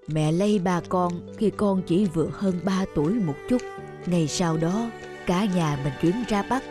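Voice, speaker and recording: Gentle, slow-spoken elderly cartoon mother, voiced by a woman, tender delivery, music underneath. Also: lowish voice, close to an everyday voice. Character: gentle elderly mother confiding in her grown son. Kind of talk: animated moral tale acting.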